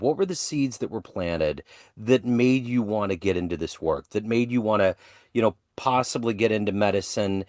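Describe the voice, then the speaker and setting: A man's voice: lowish voice, a little mellow, no room sound, studio quality. A man hosting an interview, asking layered follow-up questions. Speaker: interview host.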